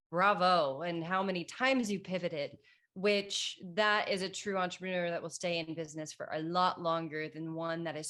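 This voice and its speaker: A woman's voice: lowish voice, a bit bright. A woman entrepreneur guest commenting in a casual interview, encouraging and emphatic.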